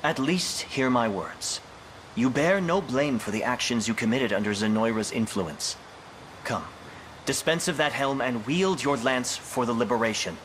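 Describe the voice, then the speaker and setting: A man's voice: medium pitch, lively intonation, normal speed, fairly bright tone, slight background noise, lightly acted. Man playing an earnest, noble young knight. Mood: urgent, appealing. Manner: courteous, formal archaic speech. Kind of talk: dramatic fantasy video game acting.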